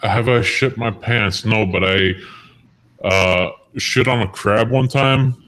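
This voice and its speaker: Deep-voiced man telling a crude story. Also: takes it slowly, a bit bright, slight background noise. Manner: rambling, crude, chuckles through his story. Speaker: stream guest. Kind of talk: casual storytelling on a voice chat.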